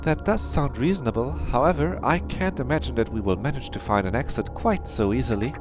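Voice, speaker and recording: Man voicing a cautious, formal game character, pitched up. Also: expressive, brisk, music in the background, close to an everyday voice. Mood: doubtful, reasoning.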